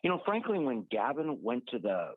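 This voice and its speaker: A man's voice: mid-range voice, big pitch swings, normal speed, slightly rough, dry recording. A man hosting talk radio from a crowded hangar event, upbeat and energized. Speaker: radio host. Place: large airplane hangar event. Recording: dry tinny phone-line audio.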